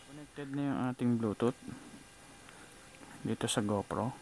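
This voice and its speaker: A man's voice: mid-range voice, measured pace, darkish tone, slight background noise, low-quality recording. A Filipino man giving a casual, chatty product demo in vlog style, mixing in English words. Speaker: vlogger reviewing motorcycle gear.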